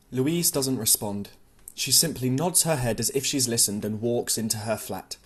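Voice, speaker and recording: A man narrating a novel as an audiobook, reading scripted literary prose. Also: mid-range voice.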